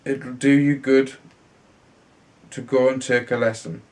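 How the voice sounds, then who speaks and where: A man's voice: mid-range voice, little pitch movement, a little slow, darkish tone, close to an everyday voice. A man reading a storybook, voicing scornful older brothers who tease their sibling in a fairy tale. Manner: scornful, teasing.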